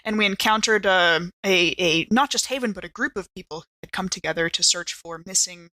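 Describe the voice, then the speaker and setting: A woman's voice: lowish voice, brisk, bright, forceful tone, no echo, low-quality recording. A woman improvising in character in a tabletop roleplaying podcast, wordy and hesitant as she pieces things together. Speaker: player in an actual-play podcast.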